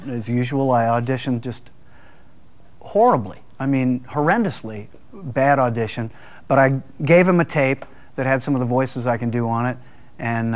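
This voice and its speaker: A male comedy performer and impressionist talking casually in an interview, self-deprecating and emphatic. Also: medium pitch.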